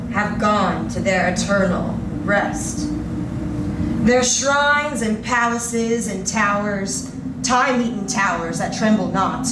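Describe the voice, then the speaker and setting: A woman giving a solemn, measured, theatrical reading of dramatic poetry. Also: mid-range voice, heavy background noise. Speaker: performer of poetry. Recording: roomy distant recording under loud music.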